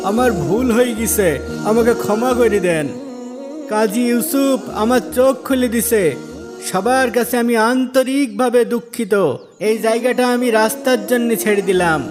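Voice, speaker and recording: Man acting as respectful villager, earnest, high male voice, polite, moral cartoon, faint music behind. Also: normal speed. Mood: contrite. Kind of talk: Islamic moral cartoon dialogue.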